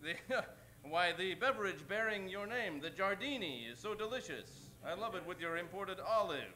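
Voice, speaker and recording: High, genteel Western spoof character. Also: male voice, expressive, moderate pace, bright, forceful tone, faint music behind, rough recording. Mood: genial, earnest.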